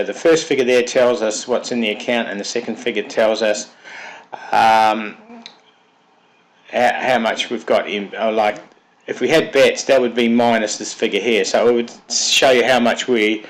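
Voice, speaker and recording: A man with a cold and a slightly froggy voice, methodically walking through a piece of software step by step. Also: lowish voice, fairly even intonation, normal speed, rough recording. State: has a cold, sounds froggy. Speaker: software developer presenting his product. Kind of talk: screen-recorded software walkthrough.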